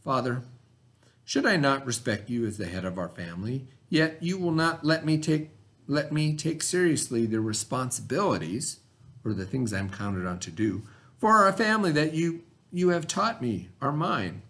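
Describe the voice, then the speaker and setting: A man reading aloud the part of an earnest, respectful young son in a storybook, with measured, pleading politeness. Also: mid-range voice, lively intonation, a bit bright.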